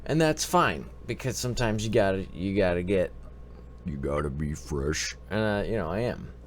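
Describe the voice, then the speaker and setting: A man's voice: deep voice, animated, normal speed, slight background noise. Stuffy-voiced man hosting a live stream. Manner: rambling, joking, greets chat viewers by name. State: stuffy-nosed with a cold. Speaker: livestream advice show host. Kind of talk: casual live-stream chat with viewers.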